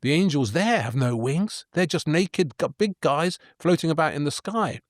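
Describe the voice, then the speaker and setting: A man's voice: a little high, animated, normal speed, no echo, clean studio sound. A man co-hosting a casual art history chat, telling stories with playful enthusiasm and asking his co-host questions. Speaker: podcast co-host.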